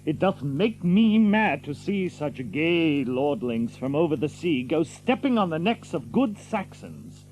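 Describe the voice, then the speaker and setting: An actor playing a bold, hot-tempered outlaw leader in an archaic audio drama, with a blustering, declamatory manner. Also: male voice, on the high side, sing-song, a little slow, hardly any pauses, a little mellow, slight background noise, low-quality recording. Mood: indignant.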